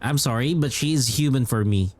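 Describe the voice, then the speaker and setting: A man's voice: mid-range voice, brisk, a little mellow. A man giving casual live reactions as a game streamer, cheekily talking back to the characters. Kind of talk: casual live reaction commentary over gameplay.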